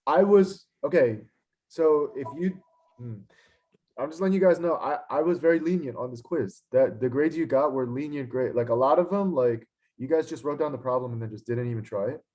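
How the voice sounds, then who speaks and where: A hoarse young man teaching an online lecture, frustrated and scolding, with lots of fillers. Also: medium pitch, a little fast, darkish tone, highs cut off. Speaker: instructor. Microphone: computer mic on a video call.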